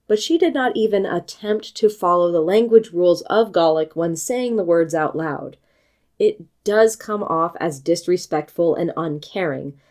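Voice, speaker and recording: An American woman reading a scripted book review video essay in a measured, articulate and critical manner. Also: on the low side, darkish tone. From United States.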